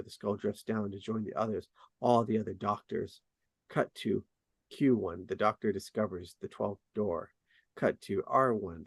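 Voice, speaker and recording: Low-voiced man reading stage directions, very dry sound. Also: breathy edge, no room sound. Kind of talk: scripted narration at a table read.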